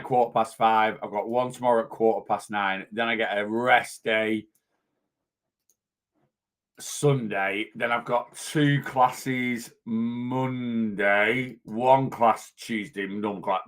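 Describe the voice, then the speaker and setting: A man, a weight loss coach chatting casually in a vlog, a little hoarse from losing his voice and rambling as he goes. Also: medium pitch, fairly even intonation, slow, a bit bright. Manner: chatty, rambling, self-interrupting.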